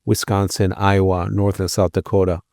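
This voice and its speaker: A man in his sixties, raised in Israel and then the American Midwest, answering interview questions in a measured, polite, modest way. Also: low-pitched, level tone, gravelly, a little mellow, no echo, studio quality. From Israel, raised in Minneapolis from age twelve. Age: 60s. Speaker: architect guest. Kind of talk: interview conversation.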